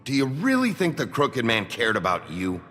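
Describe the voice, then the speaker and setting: An actor playing a gruff, blunt town sheriff in a video game, terse and confrontational. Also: male voice, mid-range voice, huge pitch swings, normal speed, a bit bright. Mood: stern. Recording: echoey hall, music behind.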